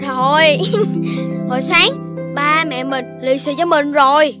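Casting: earnest little dog boy, extremely high voice, animation, loud music bed. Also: steady tone, slow. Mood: puzzled. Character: polite, earnest young Dalmatian puppy boy. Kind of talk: children's cartoon dubbing. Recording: children's music behind the voice.

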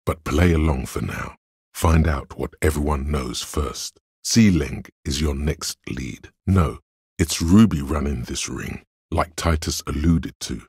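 A cool, superior voice of pure reason for a video game. It is extremely deep and heavily husky, full of gravel. The delivery is measured, deliberate and dryly analytical, like dramatic narration. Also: male voice, a little mellow, dry recording, clean studio sound, exaggerated voice. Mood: probing, deductive. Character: cool, analytical inner voice of Logic, dry and superior.

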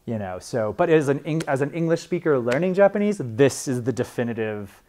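A man's voice: mid-range voice, expressive, fairly quick, darkish tone. A young man, a librarian, teaching a class in a casual, chatty way with frequent fillers and restarts. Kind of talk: informal classroom talk.

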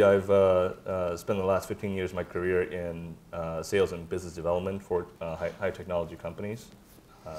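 A man's voice: deep voice, a little mellow, a little hiss or hum. A man in his forties, from Taiwan, speaking as a panelist on a conference stage, modest and hesitant with many fillers. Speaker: panelist, tech executive. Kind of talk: panel discussion.

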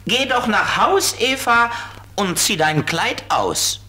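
A man voicing a fussy, excitable flying beetle who scolds, in a children's audio play. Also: high voice, takes it slowly, slightly rough, heavily acted. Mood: shouting helpfully. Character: fussy, squealing, ticklish male flying beetle, child's companion.

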